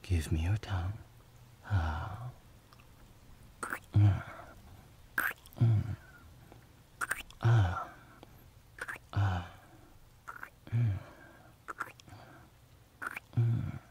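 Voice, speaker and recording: A man voicing a devoted, doting young male servant in an ASMR roleplay, whispering softly and soothingly. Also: deep voice, extremely slow, pauses often, highs cut off, very noisy, exaggerated voice. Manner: soft whispering, affectionate and soothing.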